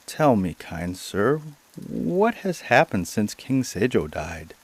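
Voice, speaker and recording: A man's voice: on the low side, sing-song, slow, husky, pitched above the performer's own voice, heavily acted. A man voicing an ancient, bewildered mountain woodman in a storybook, humble and formal in manner. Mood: humble, pleading.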